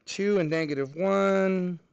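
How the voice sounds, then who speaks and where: A man's voice: high voice, slow, gravelly, clean tone, dry recording, muffled recording. A man with a Texan accent teaching a middle school math class, chatty and joking with his students between problems.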